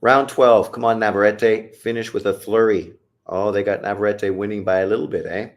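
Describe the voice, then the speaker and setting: A deep-voiced man gives loose live commentary on a bout, cursing freely and cracking jokes between punches. His low voice stays casual and blunt as he shouts encouragement at the fighters and reads out viewer questions. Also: steady tone, a little fast. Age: adult, old enough to say he got old. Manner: profane, blunt and joking. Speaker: livestream host reacting to a boxing fight.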